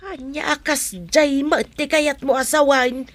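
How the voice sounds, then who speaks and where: An actress playing a humble, grateful older mother in a radio drama, deferential and effusive, with a creaky, husky voice. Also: high voice, flat delivery, unhurried, no room sound. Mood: teasing retort. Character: humble, grateful poor elderly mother of the groom.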